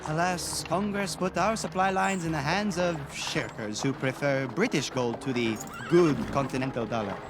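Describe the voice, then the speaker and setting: A man's voice: a little high, normal speed, few pauses, close to an everyday voice. An actor voicing an earnest young nobleman general in a cartoon, with a courtly, dramatic manner. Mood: grave. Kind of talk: cartoon voice acting. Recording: music behind the voice.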